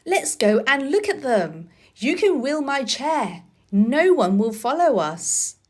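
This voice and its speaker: Woman reading as a frank young girl, higher-pitched. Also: big pitch swings, takes it slowly, a little mellow, exaggerated voice. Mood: eager. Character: bright, frank young girl, bossy companion.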